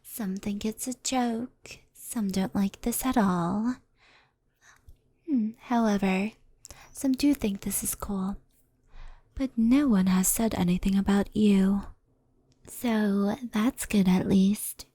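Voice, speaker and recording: Casting: woman as gentle, mischievous kitsune mentor for ASMR roleplay; mellow, slow delivery, soft intimate whispering. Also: medium pitch, steady tone, husky, no echo. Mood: softly anxious. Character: gentle mischievous female fox spirit, doting mentor, faintly anxious.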